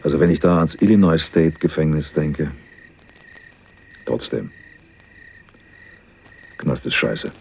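An actor playing a shrewd, sardonic small-time jailbird in a German radio crime drama, teasing and probing. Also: male voice, rumbling low, expressive, slow, creaky, pauses often, breathy edge, heavily acted. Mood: wry, needling. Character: shrewd, needling small-time male jailbird, broke and worldly-wise. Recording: dull lo-fi radio recording, faint noise.

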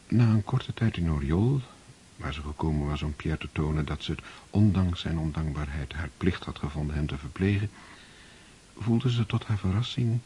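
A man narrating a radio play in a measured, solemn way. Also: low-pitched, dark tone, slight background noise.

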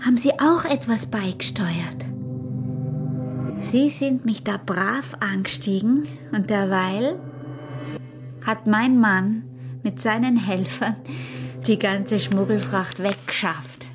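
Woman acting a provocative young peasant wife, Austrian accent, slow taunting delivery, radio drama, faint music behind. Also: medium pitch, lightly acted.

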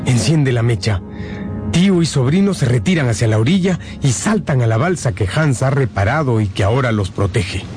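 A man narrating a scripted radio drama in a dramatic, suspenseful manner with measured phrasing. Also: medium pitch, animated. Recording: loud music under the voice. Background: loud dramatic music.

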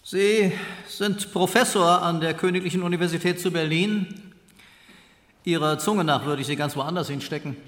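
A man's voice: on the high side, normal speed, a bit bright, close to an everyday voice. A man playing an officious court examiner in a satirical radio play, curt and bureaucratic, stumbling when puzzled. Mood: officious, baffled. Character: officious, easily baffled male Prussian court examiner. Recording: echoey courtroom, long reverb.

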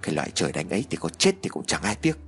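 A man's voice: deep voice, very animated, racing, a bit bright, voice pushed down. A man voicing a cruel middle-aged grandmother who scolds coarsely and hurls threats. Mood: bitter, resentful.

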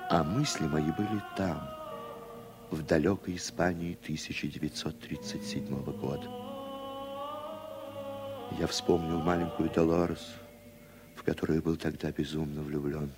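An actor playing a wry, educated middle-aged playwright, measured and courteous, in 1960s Soviet radio drama style. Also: male voice, extremely low pitch, fairly even intonation, over music. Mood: wistful.

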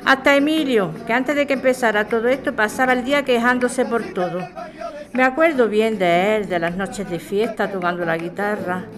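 A woman's voice: a little high, moderate pace, natural voice. A woman playing a hopeful, reassuring grown daughter in an audio drama.

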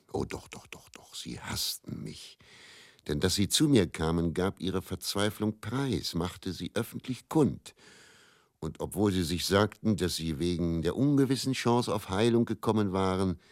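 An actor playing a bitter, world-weary travelling faith healer and quack in a radio play monologue, musing and theatrical. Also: male voice, low-pitched, no room sound, studio quality. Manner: brooding, musing, repeats words, theatrical shifts between murmur and outburst.

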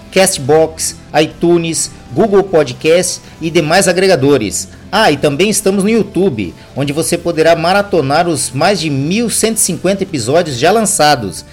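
High-pitched Brazilian man announcing a podcast promo. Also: moderate pace, few pauses, faint music behind.

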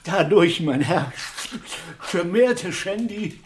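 An actor playing a pompous, wordy, sardonic country doctor in a literary radio play. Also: male voice, high-pitched, lively intonation, slow, a little hiss or hum. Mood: smug.

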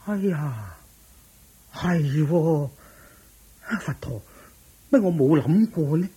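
An actor playing a thrifty, earnest, somewhat naive rich merchant in a radio drama, questioning and hesitant, with a Hong Kong Cantonese accent. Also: male voice, fairly high pitch, animated, takes it slowly, warm tone, breathy edge, heavily acted. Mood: earnest, resolved.

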